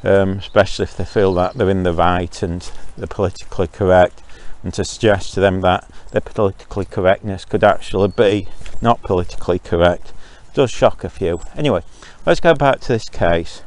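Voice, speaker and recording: A man from Zambia with a slightly low voice talks into his own podcast while out walking his dogs. He rants his opinions in a loose monologue and often stops to restart a thought with an um. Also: fairly quick, few pauses, a little mellow. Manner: opinionated and ranting, with frequent ums and restarts. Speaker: podcast host.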